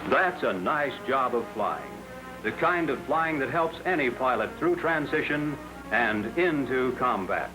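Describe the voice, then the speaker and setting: A man's voice: medium pitch, animated, rough recording. 1940s training film narrator, man, over music. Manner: measured, addressing the trainee pilot directly. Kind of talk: scripted 1940s instructional narration. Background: film score music.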